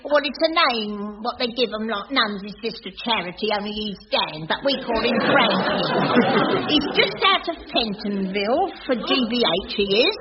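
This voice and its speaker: A woman's voice: mid-range voice, lively intonation, moderate pace, a crowd in the background, close to an everyday voice. An actress playing a chatty, doting cleaning woman in a 1980s radio sitcom. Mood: chatty, matter-of-fact. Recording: dull noisy radio recording, studio audience.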